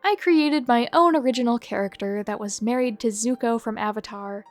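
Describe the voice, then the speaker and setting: A woman hosting a video who reads out short fan confessions one after another in a brisk, matter-of-fact way. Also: fairly high pitch, faint music behind. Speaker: host reading viewer submissions. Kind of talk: reading aloud a list of fan confessions.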